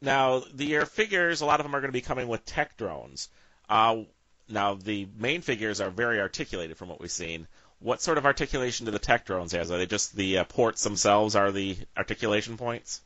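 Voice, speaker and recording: A man interviews casually in a lowish, bright and forceful voice. The recording is close and dry, with slightly dulled sound. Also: brisk, no room sound, muffled recording.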